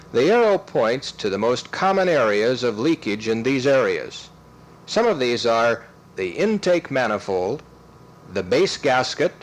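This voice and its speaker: A man reads scripted instructional narration in a methodical, step-by-step way, enunciating clearly. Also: mid-range voice, low-quality recording. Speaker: training film narrator.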